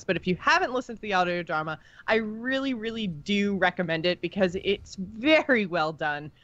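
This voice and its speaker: A woman's voice: medium pitch, a bit bright, dry recording, muffled recording. A woman co-hosting a podcast, chatting in a casual, informal and teasing way about something she follows as a fan. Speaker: podcast co-host. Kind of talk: casual, unscripted fan discussion.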